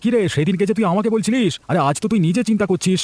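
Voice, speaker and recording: High-pitched, hurried village schemer, a man acting. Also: expressive, darkish tone. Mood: curious. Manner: urging, conspiratorial. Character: scheming, cautious village accomplice, easily riled. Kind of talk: cartoon folk-tale voice acting.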